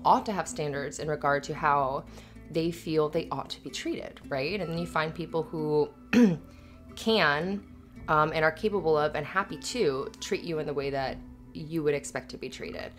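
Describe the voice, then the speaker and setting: A woman's voice: low voice, lightly acted. A woman playing an affectionate, earnest, slightly anxious live-in girlfriend in a conversational, rambling, hesitant ASMR roleplay. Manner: rambling, hesitant, giggly asides. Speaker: audio roleplay performer.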